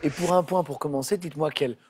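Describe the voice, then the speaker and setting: A man hosting a television quiz show, chatting with contestants in a teasing, friendly way, his voice a little hoarse. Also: fairly high pitch, quick pace, a crowd in the background. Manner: chatty, teasing, with frequent fillers and restarts. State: voice a bit hoarse. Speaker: game show host. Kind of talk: friendly candidate introductions. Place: television studio.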